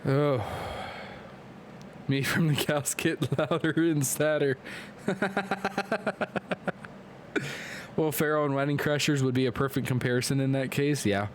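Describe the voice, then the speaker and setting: Male stream host, high voice, excitable play-by-play caller, hoarse and congested. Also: a little hiss or hum. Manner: excitable, hyped play-by-play with quick asides to chat. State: losing his voice, nose clogged. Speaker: league commentator and stream host. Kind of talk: live-streamed play-by-play commentary.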